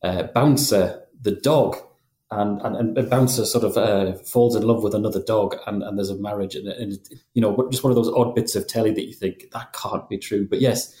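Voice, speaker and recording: Mellow-voiced man with a slight Geordie accent. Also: lowish voice, steady tone, moderate pace, reverberant. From North East England. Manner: chatty, frequent restarts and repeats. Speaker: podcast co-host, journalist. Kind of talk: casual news discussion.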